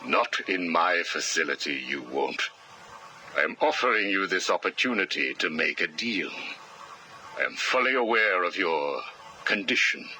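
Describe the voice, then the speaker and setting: Casting: sinister game psychiatrist. Extremely deep, slow, precise, cold, with a hard forceful edge. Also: male voice, lively intonation, a little hiss or hum, full character voice. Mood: cold authority. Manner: measured, clinical, menacing, precise. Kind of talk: video game voice acting.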